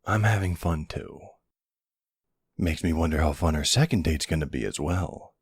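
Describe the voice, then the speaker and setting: Man acting a very deep, gravelly mafia boyfriend. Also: normal speed, dry recording, studio quality, heavily acted. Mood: teasing, fond. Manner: playful, teasing, smooth-talking with tough-guy swagger. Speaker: voice actor in an audio roleplay. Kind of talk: intimate ASMR boyfriend roleplay acting.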